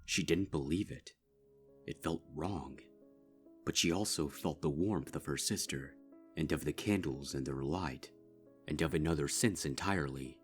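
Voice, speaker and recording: A man giving grave, measured narration of a dark fan story. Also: rumbling low, faint music behind. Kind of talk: dark fanfic audiobook narration.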